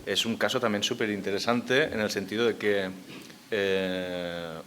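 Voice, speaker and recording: A man speaking on a conference panel, measured and hesitant as he thinks aloud. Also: lowish voice, steady tone, a bit bright, slight background noise. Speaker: panel speaker on memory policy.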